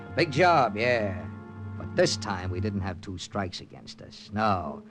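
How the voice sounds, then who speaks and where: An actor playing a tough, weary American army captain with an American accent, narrating and speaking in clipped 1940s radio style. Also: male voice, medium pitch, natural voice. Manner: hard-boiled, clipped. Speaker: narrator and lead character. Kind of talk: 1940s radio acting with first-person narration.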